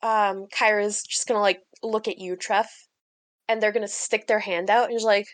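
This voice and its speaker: A woman's voice: medium pitch, flat delivery, normal speed, no echo. A woman playing a tabletop roleplaying game, improvising casually and thinking aloud in a hesitant, informal way.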